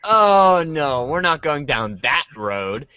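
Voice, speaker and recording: A woman's voice: low voice, big pitch swings, slow, bright, forceful tone, clear, resonant tone, no echo, full character voice. A woman voicing a laid-back, slightly awkward teenage boy in an amateur reader's theater, casual and hesitant.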